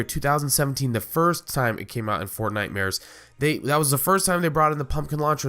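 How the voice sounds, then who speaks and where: Nasal guy w a cold, rattles off gaming talk super fast, lots of uh and you know, faint music behind. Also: medium pitch, fairly bright tone. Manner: rambling, filler-heavy, restarts. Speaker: gaming YouTuber host. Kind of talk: casual gaming commentary.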